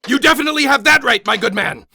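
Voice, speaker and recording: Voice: high voice, fast, pushed and bright, no room sound, clean studio sound. An actor playing a gleefully sinister mad-doctor host in an over-the-top parody radio ad, theatrical and exclamatory. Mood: sly, gleeful.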